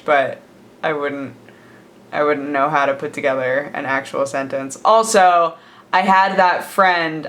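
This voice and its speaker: A woman's voice: fairly low pitch, fairly bright tone. A young woman from the United States chatting casually to camera in a daily vlog, rambling with lots of fillers and laughs. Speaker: vlogger. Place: home, sitting with coffee.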